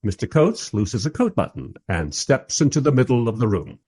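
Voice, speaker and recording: A man plays a wry observer narrating a radio play. His voice is husky and mid-pitched, moving in lively swoops through dry, literary storytelling. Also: moderate pace. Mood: wry, bemused. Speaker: first-person narrator.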